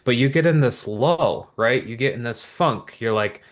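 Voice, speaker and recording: A man pitches a business opportunity to an online audience with steady, eager energy. He keeps circling back on his points, tossing in quick asides and rhetorical questions. His voice sits at an ordinary middle pitch for a man. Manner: energetic, repetitive motivational patter with many asides. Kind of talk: upbeat sales pitch to viewers.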